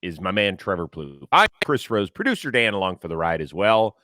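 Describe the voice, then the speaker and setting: A man hosting a casual sports talk show, a little hoarse this morning, talking in an animated, energetic way and reading sponsor copy with energy. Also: low-pitched, fairly quick, no echo, studio quality. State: a little hoarse from lack of sleep. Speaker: host.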